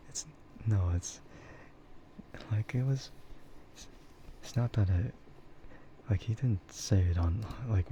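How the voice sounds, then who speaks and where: A soft-spoken man chatting casually and haltingly in a laid-back ASMR livestream style. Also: low voice. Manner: chuckles often while talking. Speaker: VTuber livestreamer. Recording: muffled audio with clear background noise.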